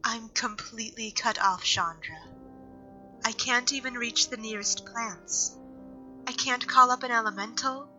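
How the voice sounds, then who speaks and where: A woman playing an earnest, gentle elf nature mage with a measured, serious delivery in a fantasy audio drama. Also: a little high, muffled recording, soft background music. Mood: grim, despairing.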